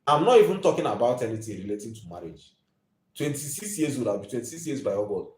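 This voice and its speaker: A man's voice: medium pitch, very animated, fast, darkish tone. Nigerian man in his twenties, roomy sound. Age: 20s. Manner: emphatic, preachy, repeats his points. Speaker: live video host. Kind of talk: ranting live monologue.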